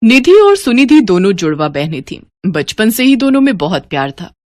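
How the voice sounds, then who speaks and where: A woman's voice: medium pitch, sing-song, normal speed, a little mellow, no room sound. A woman narrating a scripted moral story as a storyteller.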